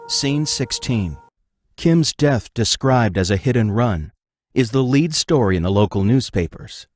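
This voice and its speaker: A man's voice: mid-range voice, lively intonation, a little slow, noisy background. A man reading scripted narration and scene announcements for an audio drama.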